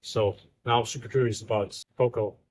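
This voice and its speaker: A man's voice: on the low side, fairly even intonation, a little fast, darkish tone. A man in his twenties from the Netherlands, talking about himself in a casual, joking way as a podcast guest. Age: 20s. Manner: easygoing and joking, with small restarts. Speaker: guest, researcher. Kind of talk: casual chat. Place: outdoors in Bali.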